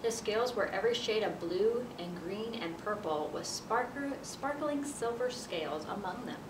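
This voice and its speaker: A woman's voice: lively intonation, moderate pace. An American woman in her thirties reading a picture book aloud to children. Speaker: children's library storyteller. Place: library children's area, indoors. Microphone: camera a few feet away. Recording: roomy, distant mic with noisy rumble.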